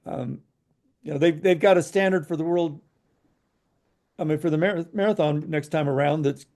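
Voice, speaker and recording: A man's voice: a little high, normal speed, darkish tone. A man co-hosting a podcast, giving frank opinions in casual chat, hesitant and full of fillers. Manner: frank, hesitant, many "you know" fillers. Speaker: podcast co-host.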